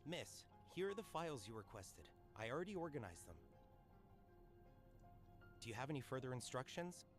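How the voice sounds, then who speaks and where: An actor voicing a dutiful subordinate officer in a video game. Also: male voice, medium pitch, sing-song, normal speed, lightly acted. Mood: formal, subdued. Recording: background music under the voice.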